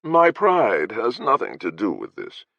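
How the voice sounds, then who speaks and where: A man's voice: on the low side, huge pitch swings, a little slow, vocal fry, pushed and bright, dry recording, voice pushed up, heavily acted. A man voicing a haughty, contemptuous male lord with a grating, drawling, blasé voice. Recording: dry, slightly dull audio.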